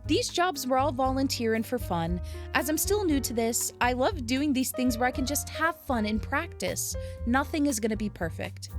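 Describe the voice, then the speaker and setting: A woman narrating a vlog in an enthusiastic, earnest way. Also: high voice. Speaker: aspiring voice actor, content creator.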